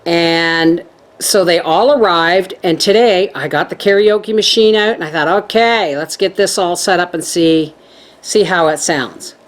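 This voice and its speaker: A middle-aged woman chatting to her viewers as a homestead vlogger, telling stories in a lively way. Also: fairly low pitch, a little slow. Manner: chatty, animated storytelling. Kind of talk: casual chat to viewers.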